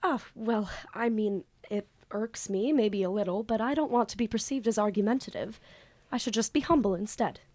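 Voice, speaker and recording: A woman's voice: medium pitch, normal speed, a little mellow, echoey room, natural voice. A woman playing a flustered, lovestruck, bookish student in an audio drama, with grandiose theatrical diction. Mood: flustered, nervous.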